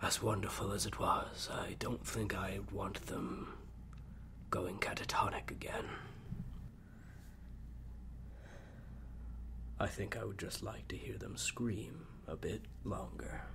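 A man playing a sadistic, clinical mad scientist villain who savors fear, recording a halting audio-drama log. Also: lowish voice, fairly even intonation, in a whisper. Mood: musing menace. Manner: slow, halting, savoring, hushed at times. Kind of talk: acted audio log monologue.

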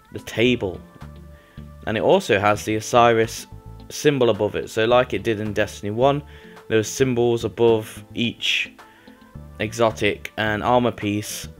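A young man with a lowish voice, a bit croaky from a cold. He rambles casually as a gaming channel host, full of fillers, over gameplay footage. Faint music plays softly behind his voice-over. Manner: chatty, rambling, lots of fillers.

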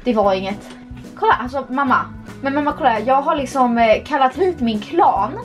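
A woman's voice: sits high, faint music behind. A young woman casually chatting while playing a horse game, playful and giggly, recorded at a desk webcam in a small room. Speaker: vlogger. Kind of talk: casual gameplay commentary.

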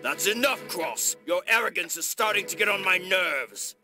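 A man voicing a stern, cautious veteran general in a video game, forceful and formal in a military way. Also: sits high, expressive, moderate pace, heavily acted. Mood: indignant. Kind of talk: scripted video game voice acting. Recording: music under the voice.

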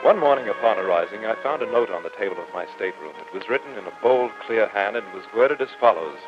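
A man's voice: on the low side, lively intonation, fast talker, music in the background, natural voice. A man playing a polite, learned professor who narrates his adventure with earnest wonder in a formal old-time radio style. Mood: earnest narrating. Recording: muffled old radio recording.

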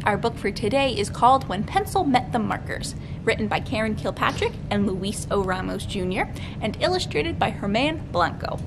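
A young woman hosting a storytime, reading aloud to children in an expressive, sing-song way. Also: normal speed. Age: young adult. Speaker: children's librarian, storytime host. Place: library room with bookshelves. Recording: clearly noisy room recording, low rumble.

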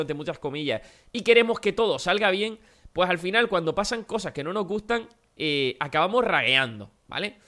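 A man's voice: sits high, pushed and bright. A man with a Spain Spanish accent, his voice nasal and worn out, chatting energetically to viewers while playing a game. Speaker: gaming youtuber. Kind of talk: casual gameplay commentary.

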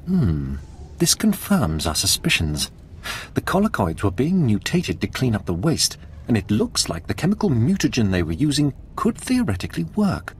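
A man playing a cautious, dutiful army captain in a video game, explaining things in a deferential, measured manner. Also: medium pitch, wildly swooping pitch, slight background noise. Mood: analytical. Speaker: military officer.

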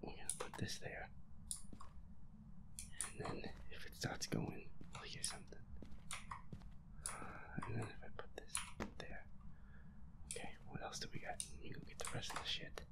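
A man muttering to himself in a breathy, whispery voice as he plays a game, repeating himself and swearing. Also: moderate pace, long pauses, low-quality recording. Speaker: gamer playing a horror game. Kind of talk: hushed solo gameplay chatter, thinking aloud.

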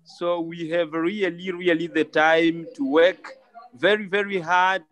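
Slow-speaking man with a Congolese French accent. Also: on the high side, steady tone, a bit bright. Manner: halting, apologetic, repeats words. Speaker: climate activist. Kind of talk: remarks on a video call. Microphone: video call.

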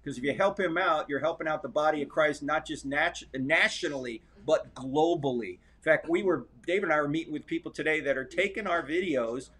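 A man gives an eager, rapid pitch to the viewers of a livestream in a hotel room. His voice sits slightly high for a man. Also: a bit bright, slightly rough. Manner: enthusiastic, rapid promotional pitch. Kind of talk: casual livestream chat.

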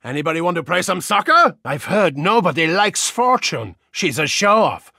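A man playing a brash, violent, childlike soccer-hooligan agent in a video game, boisterous and swinging between muttering and yelling. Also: a little high, sing-song, moderate pace, vocal fry, no echo, clean studio sound, full character voice. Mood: dry, casual.

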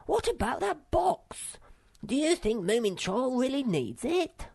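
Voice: sits high, sing-song, unhurried, creaky, a little mellow, breathy edge, no echo, clean studio sound, pitched above the performer's own voice, exaggerated voice. A storybook narrator voicing a small, timid but self-important young male creature, earnest, plaintive and solemn. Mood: uneasy.

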